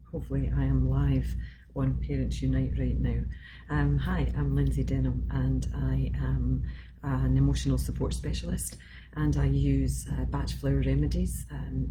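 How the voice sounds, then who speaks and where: A Scottish woman in her fifties talks on a live stream in a very low, deep voice. She rambles casually with plenty of ums and uhs. A steady hiss of background noise sits under her voice. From Scotland. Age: 50s. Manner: chatty, rambling with many fillers. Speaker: emotional support specialist.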